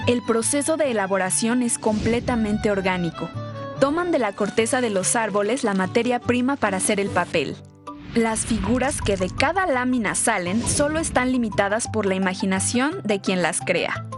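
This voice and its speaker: Woman, documentary narrator, higher voice, polished read, music bed. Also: moderate pace. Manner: smooth, even read. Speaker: narrator of a video segment.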